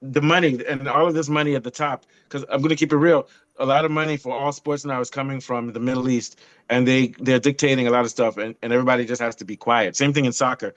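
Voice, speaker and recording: A man sounds off on a casual motorsport podcast. He is blunt and animated, often restarting his sentences mid-rant. His voice is dry and close, with no room sound. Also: medium pitch, fast, no room sound, muffled recording. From not European. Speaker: podcast co-host. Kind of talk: casual opinionated chat.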